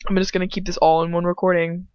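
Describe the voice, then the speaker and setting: A woman's voice: fairly low pitch, level tone, fast, darkish tone, smooth. A woman talking casually in a rambling, opinionated, slangy way, with some swearing, as she comments on a story she is reading aloud. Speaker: amateur fan fiction reader. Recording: roomy, slightly dull home recording.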